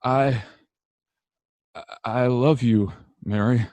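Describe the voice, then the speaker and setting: A man's voice: mid-range voice, steady tone, extremely slow, darkish tone, dry recording. A man playing an earnest, hot-tempered young small-town man in a radio drama, emphatic and impassioned. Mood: tender. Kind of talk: old-time radio drama acting.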